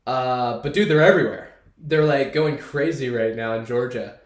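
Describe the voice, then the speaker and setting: A man's voice: medium pitch, moderate pace. A young man co-hosting a podcast, chatting in a laid-back, rambling way with a stuffed-up nose. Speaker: podcast co-host. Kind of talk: casual chat. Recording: echoey room.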